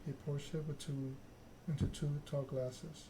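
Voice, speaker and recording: Male narrator, ASMR game read-through. Measured, flat intonation, noisy recording. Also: on the high side. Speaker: let's play host reading game text aloud. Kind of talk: ASMR narration of a visual novel.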